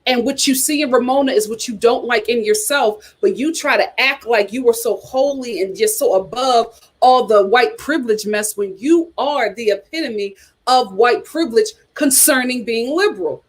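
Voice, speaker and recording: A woman with a fairly high voice hosts a casual reality TV chat. She is animated and opinionated, talking straight to her viewers as she reacts to their comments. Her delivery is blunt and gossipy, with phrases repeated for emphasis. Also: normal speed. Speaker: host of a reality TV chat show.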